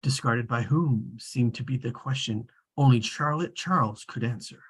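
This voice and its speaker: Casting: omniscient storybook narrator played by a man, husky, measured, precise and droll, table-read narration. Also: medium pitch.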